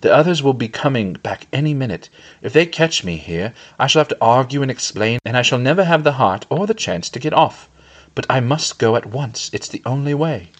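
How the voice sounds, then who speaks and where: A man voicing a gentle, weary gentleman master and reluctant hero, earnest and formally spoken, in a storybook fantasy reading. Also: medium pitch, lively intonation, fairly quick, close to an everyday voice. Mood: grave, resolute. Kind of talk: audiobook-style fantasy storytelling.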